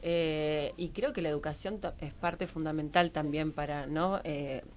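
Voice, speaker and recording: A woman's voice: low voice, fairly even intonation, measured pace, a little hiss or hum. A woman with an Argentine Rioplatense accent speaking reflectively as a radio interview guest, explaining in a conversational way and often seeking agreement with tag questions. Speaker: guest, psychology professional who trains others.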